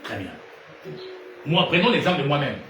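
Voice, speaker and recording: A man from Gabon with a Gabonese French accent, preaching a sermon. He asks rhetorical questions and swings between loud and hushed delivery. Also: fairly high pitch, big pitch swings, normal speed. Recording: echoey room, distant mic, noisy.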